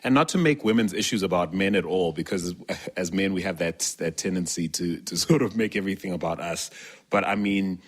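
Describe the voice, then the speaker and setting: A man hosting a conversational interview, phrasing his questions in a measured, wordy way. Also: low-pitched. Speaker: interviewer, podcast host.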